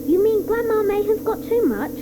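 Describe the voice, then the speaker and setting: Actress as a kind young boy: eager and earnest, with a lively, bouncing delivery. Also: very high-pitched, moderate pace, heavy background noise. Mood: anxious. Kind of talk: 1980s studio radio play acting.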